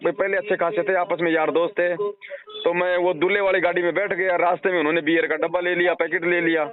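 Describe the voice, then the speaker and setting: A man's voice: high voice, level tone, fairly quick, crowd noise. A man giving informal, admonishing spiritual advice with homely analogies on a phone call. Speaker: religious counselor advising a devotee by phone. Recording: tinny narrowband phone call recording.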